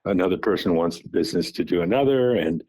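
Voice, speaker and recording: An older man in his seventies talks on a phone call, his voice sitting low. He recalls things in a halting way, repeating words and restarting his sentences as he searches his memory. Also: expressive, darkish tone. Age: 70s. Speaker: father recounting family business.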